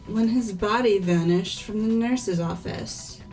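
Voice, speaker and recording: A woman's voice: medium pitch, takes it slowly, darkish tone, soft background music, natural voice, poor audio. A woman playing a self-assured, argumentative student in an amateur game dub, emphatic in delivery. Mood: assertive. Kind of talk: scripted amateur visual novel voice acting.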